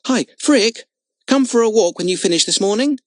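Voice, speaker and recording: A man's voice: on the high side, very animated, moderate pace, fairly bright tone, breathy edge, dry recording, studio quality, pitched above the performer's own voice, heavily acted. A man doing an eager circus boy. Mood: urgent. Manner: earnest and pleading. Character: eager, kind-hearted young circus boy.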